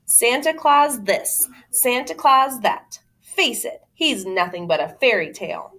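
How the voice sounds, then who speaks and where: A smug young boy from a children's storybook, acted out by a woman reader. He lectures and scoffs with insistent scorn, in an animated, swooping voice. The delivery is slow and emphatic. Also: a little high, pitched above the performer's own voice. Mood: sneering. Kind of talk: children's storybook read-aloud.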